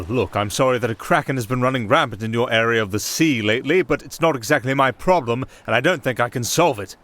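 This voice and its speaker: A man's voice: mid-range voice, a bit bright, natural voice. A man playing a wry, nervy castaway entertainer in an ASMR roleplay, sarcastic and exasperated as he talks to an unheard partner. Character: young male wandering entertainer, sardonic, reluctant, nervy castaway. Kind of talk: ASMR roleplay acting, one-sided dialogue.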